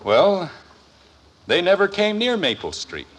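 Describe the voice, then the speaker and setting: An actor playing an exasperated, sarcastic middle-aged suburban father in a 1950s radio sitcom, with indignant blustering and a husky voice. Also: sits high, big pitch swings, a little slow, full character voice. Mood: deflated.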